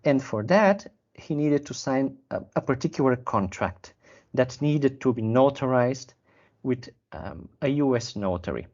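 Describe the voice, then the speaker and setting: A man, a sales manager explaining a client case in a measured, careful way with pauses to find words. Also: mid-range voice, lively intonation, darkish tone, dull audio. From Bulgaria. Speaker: sales and business development manager. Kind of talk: business interview.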